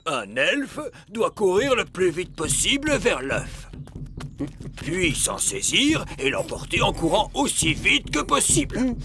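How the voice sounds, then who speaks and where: Elfe fermière au débit posé. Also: female voice, lowish voice, very animated, slow pace, noisy background. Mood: patient, explanatory. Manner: explanatory, emphatic. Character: grown woman elf farm worker, patient guide. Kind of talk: French cartoon dubbing. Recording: music playing behind the voice.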